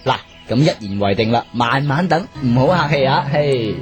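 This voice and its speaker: A man's voice: medium pitch, lively intonation, normal speed, over music, close to an everyday voice. An actor playing a chatty, pushy but kindly street vendor in a radio drama, with a teasing, salesman-like way of talking. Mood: cheerful, pushy. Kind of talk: Cantonese radio drama acting.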